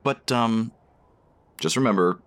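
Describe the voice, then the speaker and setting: An actor playing a shy, smitten diner customer in a romance audio drama, speaking in a hesitant, bashful way. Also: male voice, lowish voice, measured pace, fairly bright tone. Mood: shy, nervous.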